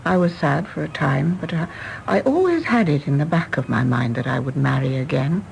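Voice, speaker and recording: A woman's voice: low voice, lively intonation, moderate pace, gravelly, a little mellow, slight background noise, heavily acted, rough recording. A woman playing a reflective middle-aged widow in a radio drama, candid and restrained. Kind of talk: stage-play radio drama acting.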